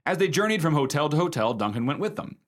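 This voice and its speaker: Lively storytelling man, animated pitch. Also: quick pace. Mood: amused storytelling. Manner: conversational, expressive raconteur. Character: genial husband spinning a ghost yarn to listeners. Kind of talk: radio drama storytelling.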